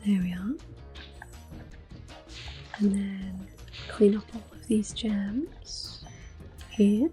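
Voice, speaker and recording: A woman giving gentle, unhurried ASMR gameplay commentary in a soft, breathy whisper as she plays. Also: mid-range voice, steady tone. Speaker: gameplay streamer. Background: video game music.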